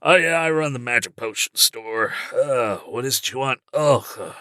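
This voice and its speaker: A man's voice: mid-range voice, expressive, normal speed, sharp tone, no room sound, clean studio sound. A man voicing a weary, reluctant middle-aged shopkeeper who aches when he moves, improvised for a tabletop game. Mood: achy, reluctant. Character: grumpy, lazy, achy middle-aged potion shopkeeper.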